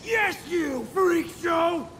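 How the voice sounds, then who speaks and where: An actor playing a vicious, mocking supervillain thug in a video game, sneering and aggressive. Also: male voice, very high-pitched, lively intonation, drawn-out words, heavy background noise, full character voice. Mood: furious. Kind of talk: video game villain acting. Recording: reverberant hall, loud music behind.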